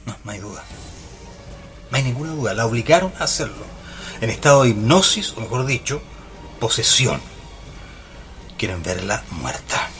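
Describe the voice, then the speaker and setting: An actor playing an earnest, grave male parapsychologist in a radio drama, speaking dramatically and deliberately with a Chilean accent. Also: mid-range voice, animated, a bit bright, soft background music, natural voice.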